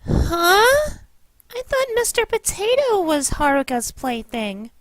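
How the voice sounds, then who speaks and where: Woman playing a high-voiced, innocent young girl. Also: sing-song, takes it slowly, fairly bright tone, no echo, full character voice. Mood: puzzled. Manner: innocent, questioning. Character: naive, childlike, easily puzzled sweet girl. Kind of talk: scripted cartoon parody dub.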